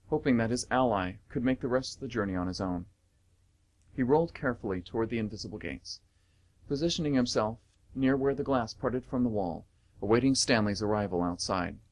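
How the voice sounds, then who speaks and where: A male narrator reads a story for radio theatre. His voice is mid-pitched, and he delivers the scripted prose as dramatic storytelling.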